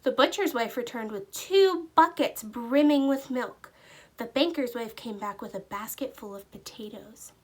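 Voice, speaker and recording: A woman narrating a children's storybook aloud with expressive, deliberate storytelling. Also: on the high side. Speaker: teacher reading aloud.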